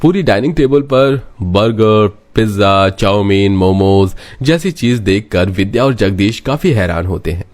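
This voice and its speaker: A man's voice: lowish voice, expressive, measured pace, hardly any pauses, a little mellow. A man reading scripted narration as the storyteller.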